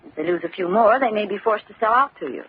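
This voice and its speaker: A woman's voice: medium pitch, lively intonation, fast, slightly rough. A woman playing a plucky, wry insurance investigator in an old-time radio drama. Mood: composed. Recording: muffled, hissy old radio recording.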